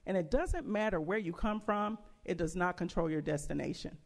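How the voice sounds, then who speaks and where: A woman delivering a prepared campaign speech as a school board candidate, speaking emphatically in short, deliberate phrases. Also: on the low side, creaky.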